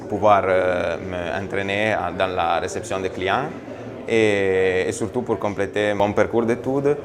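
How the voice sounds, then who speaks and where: A man, hesitantly searching for words as he answers in an interview. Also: sits low. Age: 20s. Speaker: student intern. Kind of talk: spontaneous interview. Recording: crowd chatter behind.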